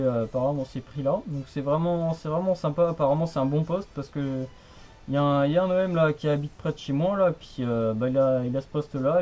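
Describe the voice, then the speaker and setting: A man's voice: medium pitch, warm tone. A young man chatting casually over the radio in a rambling, repetitive, colloquial way. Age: young adult. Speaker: radio hobbyist, channel host. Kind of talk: casual radio contact chat.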